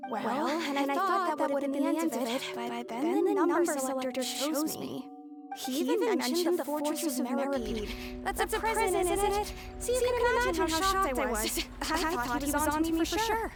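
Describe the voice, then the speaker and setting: High-voiced, wily young thief. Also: female voice, expressive, moderate pace, long pauses, loud music behind the voice. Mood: confessing, indignant. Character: young female thief, wily, defensive, pleading innocence. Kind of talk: anime-style video game voice acting.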